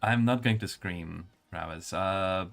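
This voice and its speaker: Calm low-voiced male streamer. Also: slow, gravelly, soft background music. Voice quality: calm, soothing. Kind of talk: reading game text aloud with casual commentary.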